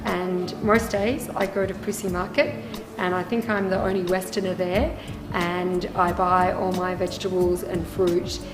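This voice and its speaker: A woman's voice: fairly low pitch, little pitch movement, unhurried. An Australian woman volunteering at a hospital, reflecting thoughtfully in an interview. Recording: roomy sound with music behind.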